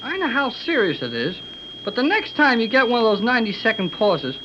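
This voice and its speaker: A woman playing an excitable, wisecracking boy in a 1930s radio serial, slangy and impatient. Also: a little high, fairly quick. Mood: wry, impatient. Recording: muffled, hissy 1930s radio recording.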